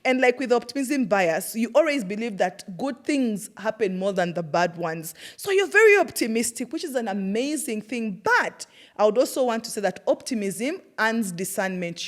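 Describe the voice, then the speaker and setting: Animated Ugandan woman telling stories. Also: on the high side. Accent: Ugandan English. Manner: animated storytelling with laughter and fillers. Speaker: host. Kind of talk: personal reflective monologue.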